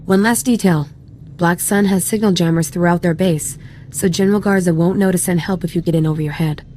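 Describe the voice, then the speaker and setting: A woman playing a confident, flirtatious army sergeant who gives a brisk, teasing mission briefing in video game dialogue. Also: fairly low pitch, steady tone, mellow, a little hiss or hum, close to an everyday voice.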